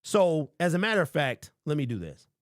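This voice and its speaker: Animated man hosting a sports show. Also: a little high, a little fast, no room sound, studio quality. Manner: animated, rambling, calls listeners bro. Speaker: sports podcast host. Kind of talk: casual sports talk show, reading chat.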